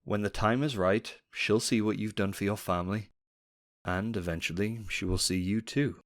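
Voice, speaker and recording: A man playing a loyal, composed, quietly teasing military captain in a sci-fi audio drama. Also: on the low side, steady tone, normal speed, dry recording, clean studio sound, close to an everyday voice. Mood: calm, wry.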